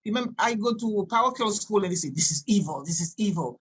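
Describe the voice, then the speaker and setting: A woman in her sixties from Haiti, a native French and Creole speaker with a Haitian accent, telling personal stories in a halting, digressive way as a podcast guest. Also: mid-range voice, expressive, husky. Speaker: podcast guest, doctor and healer. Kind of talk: conversational personal storytelling.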